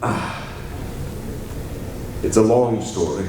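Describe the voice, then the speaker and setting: A man's voice: lowish voice, drawn-out words, warm tone. An actor playing a troubled, mild-mannered male reporter hesitantly in 1940s radio drama style. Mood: troubled, reluctant. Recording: echoey, distant mic, noisy.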